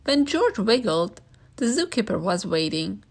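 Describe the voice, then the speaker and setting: A woman's voice: medium pitch, big pitch swings, measured pace. A woman reading a children's picture book aloud as a storyteller.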